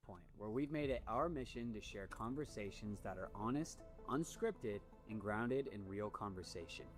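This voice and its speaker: A man's voice: fairly low pitch, animated, normal speed. Male debate show host over faint music. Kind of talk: scripted show introduction.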